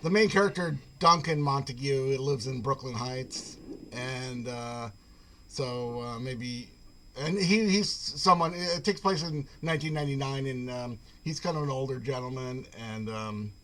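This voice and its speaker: A man giving an informal reading of his own literary short fiction on a video call, hesitant in his asides and measured when he reads. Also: medium pitch, bright, forceful tone. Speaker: writer reading own short fiction at an online poetry event.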